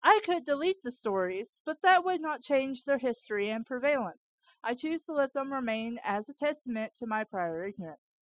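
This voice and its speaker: High-pitched woman, incredulous and informal. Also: lively intonation, moderate pace, few pauses, dry recording, rough recording. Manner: incredulous, informal, interjecting asides. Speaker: co-host reading fan fiction aloud. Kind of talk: read-aloud with baffled casual commentary.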